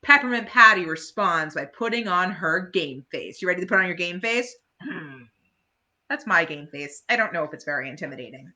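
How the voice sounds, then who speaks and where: Very bright, animated woman reading stories. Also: on the low side. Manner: expressive, pauses to chat to young listeners. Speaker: children's storyteller at a library. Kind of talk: animated read-aloud bedtime story.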